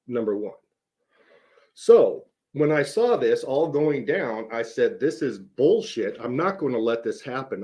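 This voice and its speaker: A man with a mid-range voice and a mellow, rounded tone delivers an unscripted rant. He is blunt and insistent, repeats short phrases for emphasis, and swears casually as he makes his points. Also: medium pitch. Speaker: online video host. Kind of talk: unscripted rant to camera, addressing a rival.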